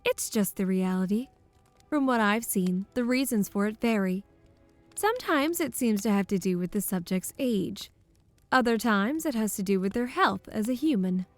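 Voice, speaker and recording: A woman playing a brisk, teasing lady scientist, poised and matter-of-fact, in a scripted audio roleplay. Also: medium pitch, animated, no room sound, faint music behind, lightly acted.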